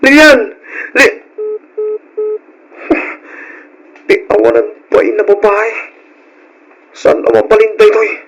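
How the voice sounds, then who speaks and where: An actor playing a stubborn, whiny husband in an old radio drama, plaintive and agitated, on a noisy recording. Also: male voice, high voice, normal speed, creaky, a bit bright, full character voice. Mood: upset, protesting. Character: whiny, stubborn lazy husband and son, Michael.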